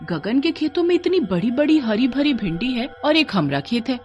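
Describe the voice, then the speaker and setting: A jealous village wife, acted by a woman, in a Hindi cartoon tale. Her voice sits high, and she scolds and taunts in a rustic eastern dialect, with music underneath. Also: very animated, normal speed, darkish tone, muffled recording. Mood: envious. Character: spiteful, jealous village wife, hot-tempered schemer.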